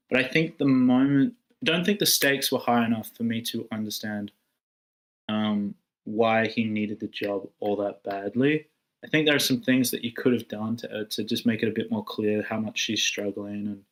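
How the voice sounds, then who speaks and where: A man giving informal feedback on a screenplay in a tentative, rambling way, softening his criticism. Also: medium pitch. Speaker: participant giving script feedback.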